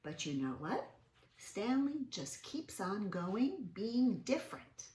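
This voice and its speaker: A woman reading a storybook aloud to young children in an expressive way, with little interjections and questions to her listeners. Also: mid-range voice, takes it slowly. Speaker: children's read-aloud host. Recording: roomy, echoing sound.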